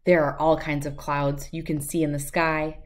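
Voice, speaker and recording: A middle-aged American woman speaking expressively and clearly as a host of children's storybook read-alouds. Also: low-pitched, level tone, moderate pace. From United States, lived in Wisconsin.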